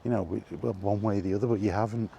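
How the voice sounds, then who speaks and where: Low, mellow man, weary rambler. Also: steady tone, very fast, vocal fry, a little hiss or hum. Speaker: vlogger. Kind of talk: freewheeling casual monologue. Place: walking while talking, ambient noise behind.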